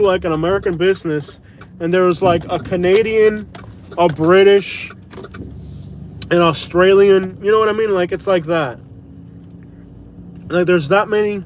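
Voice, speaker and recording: A man streams live from his car at night and gives his blunt, slangy opinions. His voice sits fairly high. A faint hum of road noise runs under him. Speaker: vlogger. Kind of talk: live-stream rant while driving.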